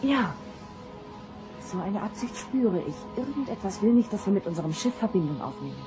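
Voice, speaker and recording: A woman's voice: mid-range voice, sing-song, normal speed, over music, natural voice. A woman playing a sensitive, troubled starship officer in an audio drama, anxious and intense. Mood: troubled, searching. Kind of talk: German science fiction audio drama acting.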